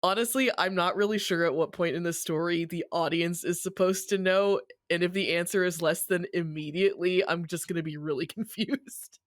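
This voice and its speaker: A woman's voice: lowish voice, fairly even intonation, no room sound, studio quality. A woman co-hosting a podcast, chatting loosely and swearing freely as she recaps a game's story. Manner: loose and swearing, quick to laugh. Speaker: podcast co-host. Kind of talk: casual chat recapping a game story. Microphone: podcast microphone.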